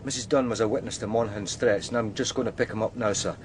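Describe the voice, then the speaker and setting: An actor playing a businesslike, deferential detective sergeant in a radio crime drama. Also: male voice, fairly low pitch, steady tone, fast, slight background noise, natural voice.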